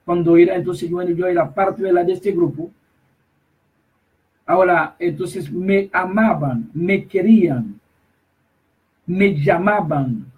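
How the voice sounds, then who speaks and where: A man with a fairly high voice preaches insistently, repeating himself and breaking off mid-phrase. He stands some distance from the microphone, so his voice sounds set back in the space. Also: a little mellow. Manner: emphatic, repetitive, halting with restarts. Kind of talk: preaching commentary.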